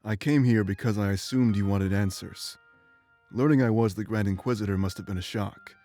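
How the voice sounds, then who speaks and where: A man playing a calm, reformed former inquisitor in a fantasy game, speaking in a measured, apologetic way. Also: on the low side, soft background music, lightly acted. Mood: calm, contrite. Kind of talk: visual novel character voice acting.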